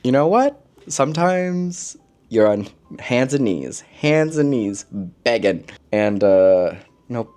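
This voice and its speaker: A man chatting casually as a book vlogger, expressive and slangy, with playful asides. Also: medium pitch, takes it slowly. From lives in Korea, origin unstated. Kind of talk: casual chat, book recommendations to camera.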